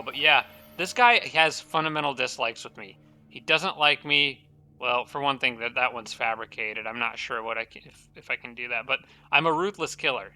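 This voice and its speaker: A man commentating his strategy game playthrough, thinking aloud with many fillers and restarts, his voice a little raspy from a dry throat. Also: medium pitch, bright, forceful tone. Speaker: strategy game let's-play host. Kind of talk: casual gameplay commentary.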